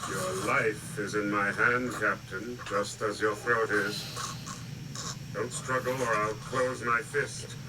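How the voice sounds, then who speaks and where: An actor playing a cold, commanding villain in a radio drama, imperious and threatening. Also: male voice, fairly low pitch, fairly even intonation, slow. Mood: cold threat. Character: menacing, cold, commanding dark lord villain. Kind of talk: 1980s radio drama acting. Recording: clear background hum and mechanical ambience.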